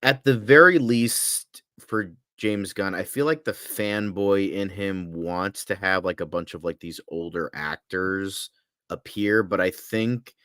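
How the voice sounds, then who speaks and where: Low-voiced man chatting, very dry sound. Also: slow, fairly bright tone, no room sound. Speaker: podcast co-host. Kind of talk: casual opinionated discussion.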